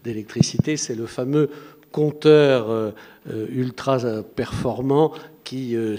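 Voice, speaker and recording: A man's voice: medium pitch, darkish tone. A man moderating a conference panel, explaining terms and introducing speakers in a conversational way with fillers.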